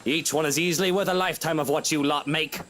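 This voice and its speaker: Man acting a pompous, high-pitched bossy rich husband. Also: steady tone, fast, a bit bright, natural voice. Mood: bossy, snobbish. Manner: dramatic, snobbish, barking orders. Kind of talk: visual novel voice acting.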